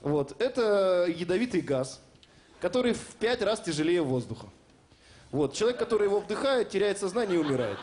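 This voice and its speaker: A man's voice: high voice, big pitch swings, a little fast, a little mellow. A middle-aged man co-hosting a comedy show, explaining things in a mock-serious, joking way into a handheld microphone. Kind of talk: comic explanation and banter on stage. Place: television studio stage with audience. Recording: stage mic with faint studio noise.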